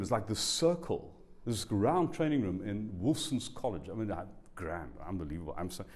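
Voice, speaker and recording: Animated man in his sixties. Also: lowish voice. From South Africa. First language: Afrikaans. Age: 60s. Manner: animated, conversational, asks the audience rhetorical questions. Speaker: spiritual teacher giving a lecture. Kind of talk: public talk to a live audience. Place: university lecture room.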